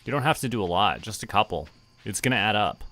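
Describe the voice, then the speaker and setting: A man with a forceful, bright voice that sits a little low. He chats casually to himself and his viewers while streaming a game, taking his time, with faint music behind him. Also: lively intonation, fast talker. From Canada. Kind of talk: casual game livestream chat.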